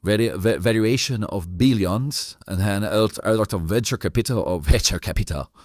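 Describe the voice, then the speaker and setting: A man with a non-native English accent hosting an interview chat, halting and stammering, often repeating words. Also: on the low side, darkish tone, no room sound, clean studio sound. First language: not English. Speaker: host.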